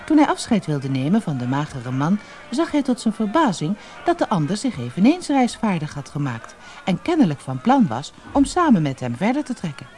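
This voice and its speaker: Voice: mid-range voice, wildly swooping pitch, brisk, darkish tone. A narrator reading a storybook expressively and dramatically for an audiobook, on an old cassette recording.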